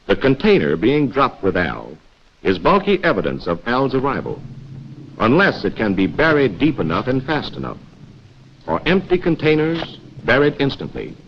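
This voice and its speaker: A man delivering dramatic, deliberate scripted narration for a 1940s military training film. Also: medium pitch, wildly swooping pitch, breathy edge, heavily acted. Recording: dull, hissy 1940s film soundtrack.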